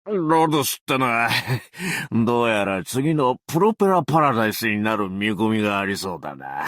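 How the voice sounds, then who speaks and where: A man playing a brash, cocky explosives fanatic with a tail in an anime game, talking in rough, swaggering slang with a gravelly voice. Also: fairly low pitch, very animated, normal speed, fairly bright tone, no echo, studio quality. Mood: smug, businesslike. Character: brash tailed male explosives fanatic, cocky bureau officer. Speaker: game character voice lines. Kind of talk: Japanese anime game voice acting.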